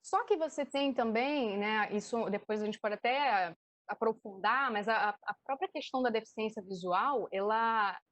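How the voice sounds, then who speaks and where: A woman's voice: a little high, normal speed, a bit bright. A woman with a Brazilian accent, speaking as a theatre director and guest, explaining things off the cuff in an online debate.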